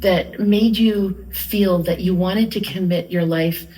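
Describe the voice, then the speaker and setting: A woman's voice: on the low side, fairly even intonation, slow pace, mellow, poor audio. Woman hosting an interview show. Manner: searching, restarts her questions. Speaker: host.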